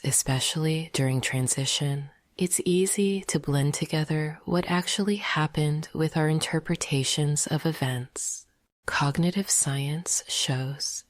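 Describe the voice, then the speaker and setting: A woman leading a guided meditation in a soft, half-whispered, gentle and soothing voice. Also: sits low, no echo.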